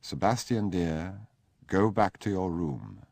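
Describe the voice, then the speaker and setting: A stately older mother of the gentry, as a deep-voiced male reader puts her on, quiet and formal. The speech is measured and restrained. The recording is dry and close. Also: lively intonation, no room sound, heavily acted. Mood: gentle. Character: stately older aristocratic mother, reserved and devout.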